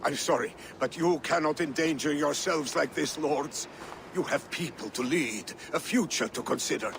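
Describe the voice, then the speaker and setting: An actor playing a weary, pious old Viking counselor and healer in a video game, grave and deferential. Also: male voice, a little high, normal speed, gravelly. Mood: scolding. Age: older. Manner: grave, deferential, gently scolding. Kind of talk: video game cutscene acting. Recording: noisy game ambience behind dialogue.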